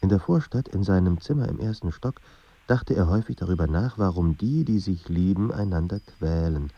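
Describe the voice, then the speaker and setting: A man narrating a melancholy literary radio drama in a measured, sombre manner. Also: deep voice, husky, no echo, dull audio.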